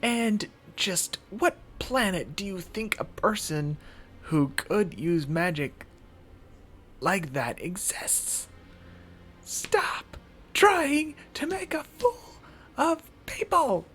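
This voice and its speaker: A man voicing an excitable, incredulous visual novel character who argues in exasperation and bursts into high outcries. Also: very slow, full character voice. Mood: outraged.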